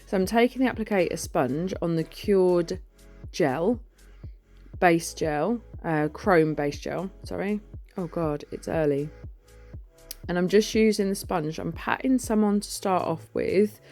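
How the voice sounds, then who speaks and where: A woman with a deep, husky voice talks through a nail tutorial in a relaxed, informal way, often poking fun at herself. She records the voice-over on a phone, and soft music plays quietly underneath. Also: a little slow. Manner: chatty, informal, self-deprecating. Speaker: nail artist and educator.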